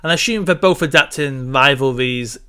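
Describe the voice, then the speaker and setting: Clear, bright-voiced man chatting. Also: mid-range voice, a little fast. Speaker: podcast co-host. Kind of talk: casual film discussion.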